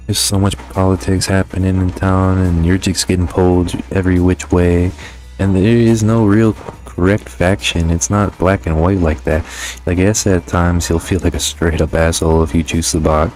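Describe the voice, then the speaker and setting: A man's voice: low voice, a little mellow, rough recording. A man giving a casual, conversational video game review voice-over in slangy, sometimes profane language.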